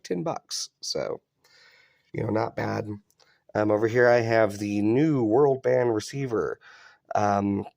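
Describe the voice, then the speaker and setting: Low, creaky-voiced man chatting casually. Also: darkish tone, no echo, studio quality. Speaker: hobbyist video presenter. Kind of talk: casual unscripted product demonstration. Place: indoors in an upstairs room.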